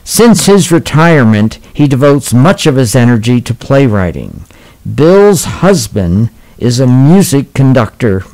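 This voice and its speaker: A man's voice: mid-range voice, very animated, a little slow, a little mellow, rough recording. A man giving a scripted announcement as host of a radio theatre programme. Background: faint music.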